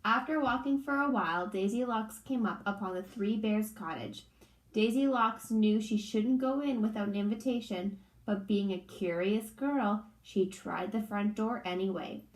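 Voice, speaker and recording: A woman's voice: mid-range voice, normal speed, echoey room, rough recording. A woman reading a children's storybook aloud like a teacher, expressively and in simple phrasing for young listeners.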